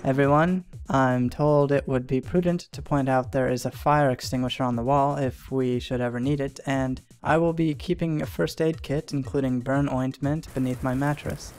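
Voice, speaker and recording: A man's voice: medium pitch, fairly even intonation, moderate pace, few pauses, darkish tone, clean tone, faint music behind, natural voice. Web cartoon boy, stiffly courteous and formal, voiced by a man. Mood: earnest. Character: polite boy guided by past lives.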